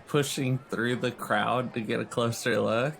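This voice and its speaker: A man's voice: medium pitch, fairly even intonation, a little slow, creaky, fairly bright tone, a little hiss or hum. A man playing a tabletop role-playing game, improvising in character with casual, slangy, sweary speech.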